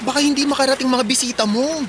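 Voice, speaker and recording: A woman's voice: sits high, fairly even intonation, quick pace, noisy background, close to an everyday voice. A woman playing a teasing, sarcastic teenage best friend in a Filipino radio drama, bantering bluntly and exclaiming. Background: music.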